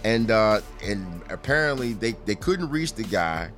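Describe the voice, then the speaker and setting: A man's voice: lowish voice, sing-song, a little slow, a bit bright, soft background music. A man hosting a podcast, telling sports stories in a casual, rambling, conversational way with amused asides. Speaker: podcast host.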